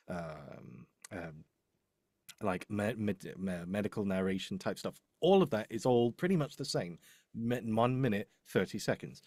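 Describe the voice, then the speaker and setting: A man's voice: on the low side, no room sound. Man coaching live, dry close sound. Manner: emphatic, repeats points and checks with "right?". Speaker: voice-over demo producer giving advice. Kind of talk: live coaching talk, unscripted.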